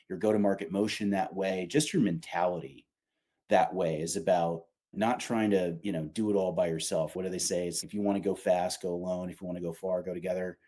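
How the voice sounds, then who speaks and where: A man's voice: sits low, mellow, breathy edge. A man talking informally and emphatically, explaining his company's product in a conversational way with casual asides.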